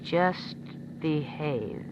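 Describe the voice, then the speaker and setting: An actress playing a cool, threatening young femme fatale with clipped, mocking delivery, in 1950s radio thriller style. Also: female voice, low-pitched, lively intonation, extremely slow, exaggerated voice. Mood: tense, warning. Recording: muffled, noisy 1950s radio recording.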